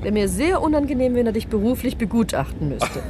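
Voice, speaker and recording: An actress playing a teasing, quick-witted young woman in a 1960s radio play. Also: a little high. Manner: teasing, lively, brisk. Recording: faint hiss, old tape.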